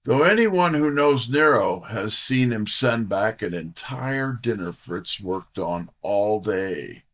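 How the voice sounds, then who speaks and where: Guy playing a wry detective sidekick, audio drama, unhurried, pitch all over the place. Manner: wisecracking, theatrical. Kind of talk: full-cast audio drama, detective narration.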